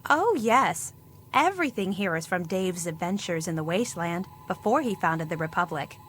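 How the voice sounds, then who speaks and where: A woman's voice: lowish voice, expressive, moderate pace, fairly bright tone, slight background noise. An actress playing a friendly, earnest woman who proudly runs a small museum in a video game. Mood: welcoming. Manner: welcoming, earnest. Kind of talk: video game character dialogue.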